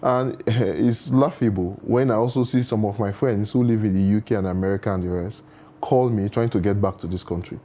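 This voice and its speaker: A man's voice: lowish voice, brisk, dark tone, dull audio, a little hiss or hum. A man speaking as a guest in a studio interview, giving earnest advice full of proverbs, with frequent restarts. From Nigeria.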